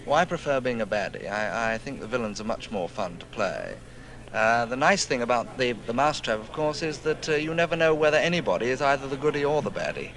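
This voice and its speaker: A man's voice: medium pitch, lively intonation, brisk, fairly bright tone, slight background noise. A man with an English accent speaking conversationally as an actor being interviewed, reflective and emphatic.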